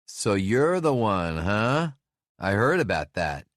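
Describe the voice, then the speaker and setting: A man voicing a loud, blustery middle-aged sheriff who shouts over engine noise. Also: lowish voice, big pitch swings, slow pace, no room sound, studio quality, voice pushed up, full character voice.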